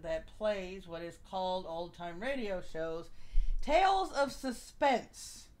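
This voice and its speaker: A woman's voice: fairly low pitch, animated, takes it slowly. A woman hosting a casual live show, chatting informally and addressing her listeners. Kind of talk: casual live-stream chat.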